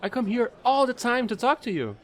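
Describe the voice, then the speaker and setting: Guy doing a excitable chatty alien angel for audio roleplay, high voice, really animated, bubbly and rambly. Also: brisk. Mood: eager, friendly. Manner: bubbly, gushing, rambling, apologetic. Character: chatty, excitable, offbeat fallen alien angel space trader.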